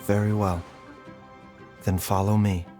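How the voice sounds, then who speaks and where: An actor playing a composed, grave doctor in a video game, speaking in a measured, polite way. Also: male voice, fairly low pitch, flat delivery, music in the background. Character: Baizhu, composed, courteous, grave male doctor. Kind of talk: video game character acting.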